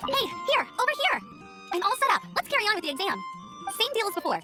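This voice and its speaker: A woman's voice: extremely high pitch, big pitch swings, fast, over music. A woman playing a cheerful, eager teenage girl scout in a video game, earnest and informal. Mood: upbeat, excited.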